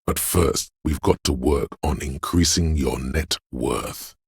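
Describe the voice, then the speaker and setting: Slick, cocky game-character hustler: extremely low, very husky bass, theatrical money-hungry swagger. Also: male voice, slow, no echo, heavily acted. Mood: cocky, pushy. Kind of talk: video game voice acting, dramatic narration.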